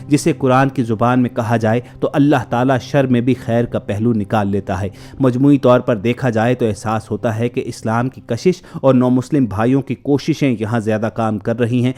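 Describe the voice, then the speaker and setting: A man's voice: mid-range voice, darkish tone. Man narrating a report over background music. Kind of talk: scripted narration, documentary report. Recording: clear music bed under narration.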